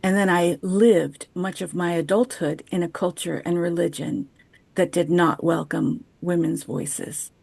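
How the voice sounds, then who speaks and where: A woman in her fifties giving a measured, poetic chapel reflection over a video call. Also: lowish voice, fairly even intonation, vocal fry, a little mellow, no room sound. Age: 50s. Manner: measured, poetic, unhurried delivery. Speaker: chapel speaker. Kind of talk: reflective personal chapel talk, read aloud. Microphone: video call microphone.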